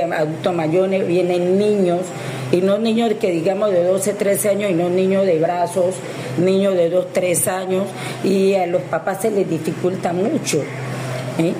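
A woman who works in local tourism, talking at length in a rambling news interview, often restarting her sentences. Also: on the low side. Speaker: tourism service provider. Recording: echoey with noise.

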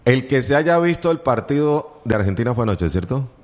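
A man's voice: medium pitch, very animated, a little fast. A man with a Colombian accent hosting casual morning radio from a studio, chatty and joking with teasing asides. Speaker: radio host.

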